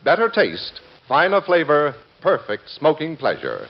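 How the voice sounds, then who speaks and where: A man's voice: fairly high pitch, huge pitch swings, a little slow. A man announcing a scripted commercial in old-time radio style. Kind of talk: scripted 1940s-50s radio advertisement. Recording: muffled vintage radio recording.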